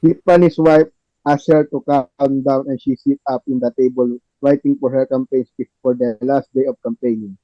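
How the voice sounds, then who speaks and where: A man's voice: medium pitch, steady tone, normal speed, no room sound. A man reading stage directions as the narrator of a scripted table read, in a dull lo-fi recording.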